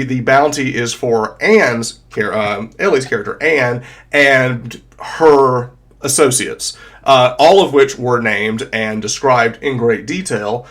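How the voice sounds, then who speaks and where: A man's voice: mid-range voice, a bit bright. A man in his thirties with a cold and a congested voice, casually recapping a tabletop campaign as dungeon master over a video call, with lots of fillers and restarts. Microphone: desk mic on a video call.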